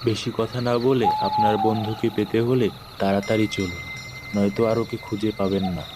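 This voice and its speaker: Man acting ghost-story character, lowish male voice, loud night insects and birds. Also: steady tone, fairly quick, very noisy, close to an everyday voice. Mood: urgent. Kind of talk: horror cartoon voice acting.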